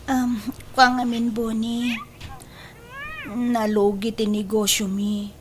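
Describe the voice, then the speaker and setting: A woman's voice: on the high side, flat delivery, slow, a little hiss or hum. A woman acting an anxious, pleading daughter in an audio drama, with a Filipino accent. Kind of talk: Ilocano radio drama acting.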